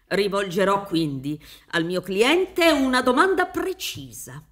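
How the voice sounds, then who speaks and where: A middle-aged woman playing a pompous defence lawyer in a radio drama, theatrical and rhetorical, pausing for emphasis. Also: mid-range voice, sing-song, fairly bright tone. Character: pompous, wheedling defence lawyer pleading a client's innocence. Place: dark theatre stage, reading from script. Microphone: studio microphone with headphones.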